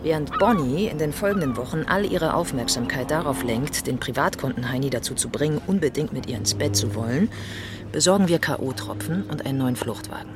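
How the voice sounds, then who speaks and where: A woman's voice: sits low, lively intonation, fairly quick, noisy background. An actress playing a bossy, clever woman who masterminds a robbery crew, commanding and impatient in naturalistic radio drama dialogue. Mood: briskly planning.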